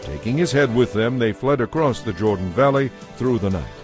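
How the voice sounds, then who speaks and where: A man narrating scripture from a script in a measured, solemn manner. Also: mid-range voice, lively intonation. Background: soft music.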